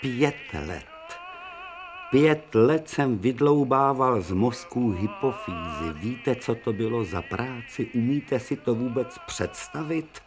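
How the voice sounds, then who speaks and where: An actor playing a proud, sardonic aging professor surgeon in a radio drama, talking theatrically with bursts of irony. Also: male voice, mid-range voice, faint music behind, natural voice. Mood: exasperated. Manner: theatrical, ironic, hums and whispers. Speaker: Professor Preobrazhensky. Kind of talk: 1990 radio drama acting.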